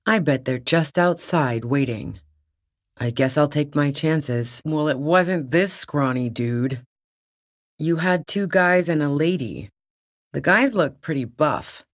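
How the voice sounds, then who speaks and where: A woman's voice: low voice, no echo, clean studio sound. A woman voicing a tough, cynical, streetwise smuggler on the run in a video game, with a blunt, sardonic manner. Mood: cool, sardonic. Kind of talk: video game character dialogue.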